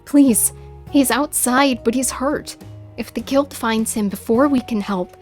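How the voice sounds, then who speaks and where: Woman acting a frightened, pleading, high-voiced heroine. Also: a little slow, faint music behind, natural voice. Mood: desperate pleading. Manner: stammering, begging. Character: frightened, pleading young woman under threat. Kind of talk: fantasy audio drama acting.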